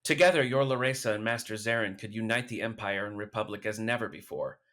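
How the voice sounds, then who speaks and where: Man playing a low-voiced, solemn alien mystic. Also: a bit bright, lightly acted. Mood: earnest, loyal. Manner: formal, measured phrasing without contractions. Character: grave, loyal male alien Voss mystic friend. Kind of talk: audio drama dialogue.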